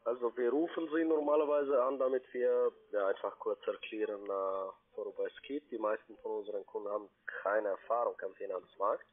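A man making a polite phone sales pitch in non-native German with grammar slips, as a call center agent. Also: brisk, few pauses. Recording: band-limited telephone line.

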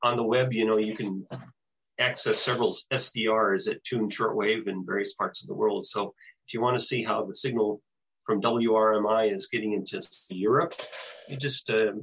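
Older man, American accent, low husky voice, halting presenter delivery, dull lo-fi video call audio. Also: steady tone, fairly bright tone. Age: older, around 70. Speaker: presenter, shortwave broadcaster. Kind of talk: informal slide presentation. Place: small home room with bookshelves, indoors, on video call.

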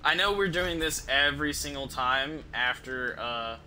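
A man's voice: medium pitch, a little slow, sharp tone, rough recording. A man in his thirties giving casual, sweary game commentary, thinking aloud as he plays on a stream. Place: indoors at home, streaming setup. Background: game music.

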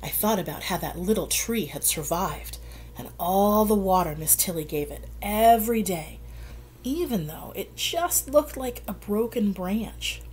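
A middle-aged woman reading a picture book aloud to children, with dramatic pauses and hushed emphasis. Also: medium pitch, expressive, husky, poor audio. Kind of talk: expressive read-aloud for children. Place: indoor room at home. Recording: faint background room noise.

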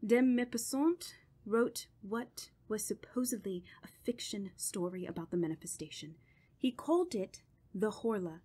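An actress playing a grave, commanding woman doctor who studies evil spirits in a radio horror drama, speaking solemnly and deliberately. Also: mid-range voice, animated, mellow, slightly rough. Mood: grave, explanatory.

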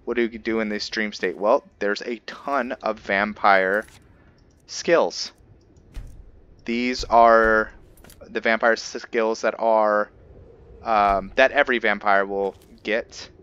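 A man giving casual, halting, explanatory commentary as he hosts a gameplay video. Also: on the low side, fairly bright tone, clear, resonant tone, lo-fi sound. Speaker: gaming video host. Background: video game ambience.

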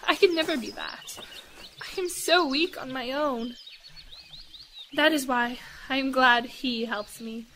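An earnest missionary lady in a radio play, high voice, takes her time, formal wording, bit of bird noise. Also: measured pace, full character voice. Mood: earnest, humble. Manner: earnest, gentle, formal phrasing. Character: devout, earnest, humble lady missionary in India. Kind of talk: audio drama acting.

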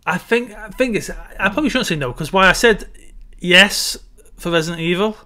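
A man's voice: high-pitched, moderate pace, bright, forceful tone. A man in his thirties co-hosting a casual film chat from home, making dry, wry remarks. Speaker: podcast co-host. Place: home room, indoors.

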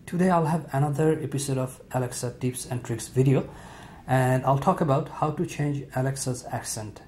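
Man explaining in halting, unscripted tutorial talk. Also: medium pitch, slight background noise. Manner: relaxed, halting with frequent "uh" fillers. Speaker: tech video host. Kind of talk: casual spoken tutorial.

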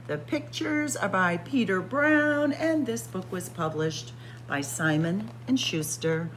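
A woman librarian reading a picture book aloud with dramatic, expressive storytelling and spooky whispers, in a library. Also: medium pitch, slow pace, slight background noise, low-quality recording. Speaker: children's librarian. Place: library with bookshelves.

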